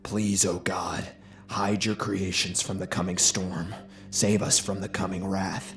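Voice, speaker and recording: A man voicing a weary game character who prays in a soft, hushed, reverent voice in a horror audiobook. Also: sits low, level tone, echoey room. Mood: solemn pleading. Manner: slow, reverent.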